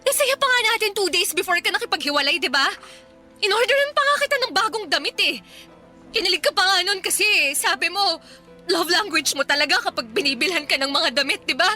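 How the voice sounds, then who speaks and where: A woman's voice: extremely high pitch, lively intonation, brisk, pushed and bright, exaggerated voice. An actress playing a clingy, astrology-obsessed young jilted girlfriend in a Filipino radio drama, pleading and insistent. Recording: faint music behind the voice.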